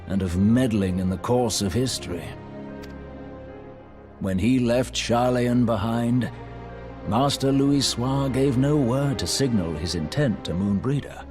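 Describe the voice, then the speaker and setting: An actor playing a solemn, grieving scholar in a video game, speaking formal, archaic, theatrical English. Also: male voice, mid-range voice. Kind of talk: scripted video game drama acting, archaic diction.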